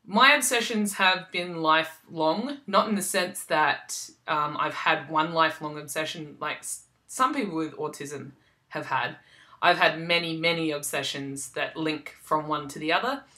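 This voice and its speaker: A woman's voice: low-pitched, unhurried, sharp tone. A young woman from South Australia with a general Australian accent, chatting casually to camera in a loose way, with many ums and restarts. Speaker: vlogger.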